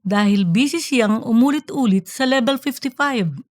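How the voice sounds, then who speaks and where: A woman's voice: fairly high pitch, normal speed, no echo. A woman reading scripted narration for a comedic fiction story. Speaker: audiobook narrator.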